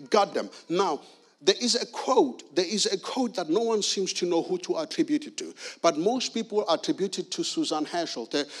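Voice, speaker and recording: A middle-aged man from South Africa preaching a sermon with emphatic, repeated key phrases. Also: a little high.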